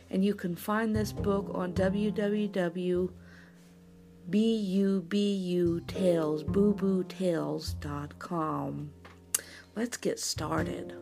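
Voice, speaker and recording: A woman narrating a children's picture book in an animated storytelling style, over soft music. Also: medium pitch, vocal fry. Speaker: storyteller reading children's books.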